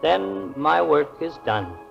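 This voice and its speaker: A man playing a kindly, earnest guardian angel in a solemn, raspy, emphatic voice, in the style of a 1940s radio drama. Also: on the high side, very animated, slow, exaggerated voice. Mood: gentle, solemn. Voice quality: gravelly, raspy. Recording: muffled old radio recording, music behind.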